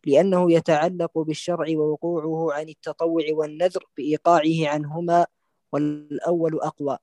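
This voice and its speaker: A man, a student, reading rule texts aloud in a flat recited manner during an online lesson. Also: a little high, brisk, no echo.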